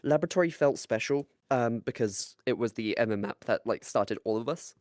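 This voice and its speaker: A podcast co-host chatting casually in an animated, jokey way and swearing freely. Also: mid-range voice, a little fast, dry recording, studio quality. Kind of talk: casual chat.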